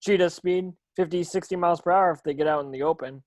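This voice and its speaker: A man playing a grim, intense game warden in his forties at a script table read, grave and deliberate. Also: sits high, no echo, natural voice. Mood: grim, warning.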